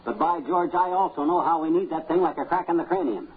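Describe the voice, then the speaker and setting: A man's voice: on the high side, quick pace, slightly rough. An American man playing a blustery, folksy, wisecracking married man in a 1950s radio comedy. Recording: tinny, noisy old radio recording.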